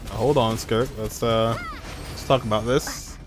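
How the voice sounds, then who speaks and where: Male streamer, casual and quippy, on the low side, reacting to gameplay with faint music underneath. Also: steady tone, measured pace. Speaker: game streamer. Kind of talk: casual live gameplay commentary.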